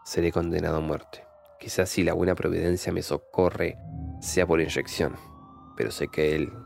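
A man's voice: low voice, gravelly, darkish tone, a little hiss or hum. A man playing a doomed, guilt-ridden man in a horror audio drama, giving his grave, solemn final confession. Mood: dread. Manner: grave, solemn, literary phrasing.

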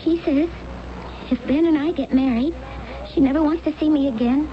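A woman's voice: sits high, moderate pace, natural voice. An actress playing an upset, bitter young woman in a 1970s radio drama, with clipped, emphatic delivery. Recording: muffled 1970s radio broadcast, faint noise.

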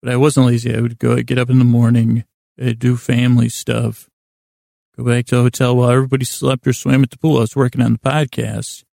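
Gravelly, meandering man podcasting. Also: mid-range voice, little pitch movement, warm tone, no echo, studio quality. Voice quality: gravelly, creaky voice. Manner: halting, meandering, self-deprecating, trails off and repeats words. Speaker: podcast host. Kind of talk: rambling bedtime monologue.

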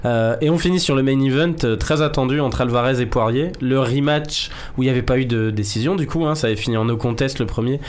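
A man with a mid-pitched, slightly hoarse voice leads a casual sports discussion. He talks informally as host, feeling his way through his phrases. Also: fast talker, hardly any pauses. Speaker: host of an MMA discussion show.

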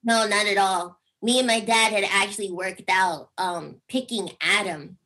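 Young woman, squeaky voice, animated storyteller, video call chat. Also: unhurried. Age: young adult. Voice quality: squeaky speaking voice. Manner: animated storytelling, acts out quotes with big pitch jumps. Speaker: singer guest. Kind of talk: casual interview chat.

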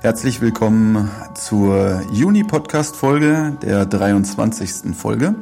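A man hosting a podcast in a relaxed, teasing way, greeting listeners and chatting with a guest on the phone. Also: lowish voice, slow pace, vocal fry, faint music behind. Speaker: podcast host. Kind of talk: casual podcast chat. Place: studio, talking to a guest by phone.

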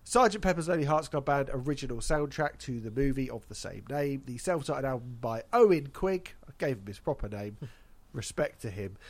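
A man's voice: medium pitch, expressive, brisk. Congested man hosting a chatty podcast. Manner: wry, chatty, laughs at own jokes. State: congested from hay fever. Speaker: podcast host. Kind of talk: casual podcast chat.

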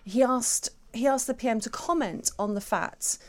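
A woman's voice: on the high side, moderate pace. A woman guest commentator in a radio discussion, speaking in an articulate, emphatic and rhetorical way. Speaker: regular guest commentator, author.

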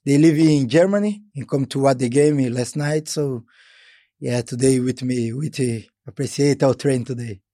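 A man from Brazil, a football player and podcast guest, speaking halting, simple English as a Portuguese speaker. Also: medium pitch, dark tone, dry recording, clean studio sound. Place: room at a training ground next to a gym.

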